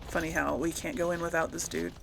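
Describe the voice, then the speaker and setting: A woman's voice: low-pitched, little pitch movement, quick pace, gravelly, a little hiss or hum. A woman giving casual, chatty commentary as she plays through a video game. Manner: chatty, informal. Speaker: gamer, let's player.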